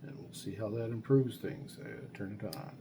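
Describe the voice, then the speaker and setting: A man hosting a casual hands-on demonstration, talking in a halting, unscripted way as if thinking aloud. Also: lowish voice. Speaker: hobbyist video host reviewing radios. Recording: noisy recording with loud background noise.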